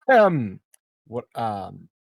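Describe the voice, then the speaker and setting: A man's voice: fairly low pitch, big pitch swings, drawn-out words, creaky, slight background noise. A man chatting casually as a player in a tabletop roleplaying game.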